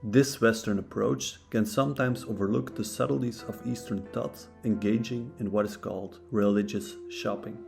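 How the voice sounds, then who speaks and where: A man, not a native English speaker, reading a scripted philosophy lesson in a steady, measured way. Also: fairly low pitch, vocal fry. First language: neither English nor Japanese. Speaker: narrator of a philosophy channel. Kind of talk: scripted educational narration. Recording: faint guitar music behind.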